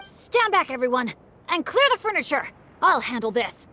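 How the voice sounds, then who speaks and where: A woman's voice: sits high, very animated, normal speed, sharp tone, slight background noise, exaggerated voice. A woman voicing an earnest, eager young male adventurer in a video game, confident and good-natured. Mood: determined. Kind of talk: animated video game voice acting.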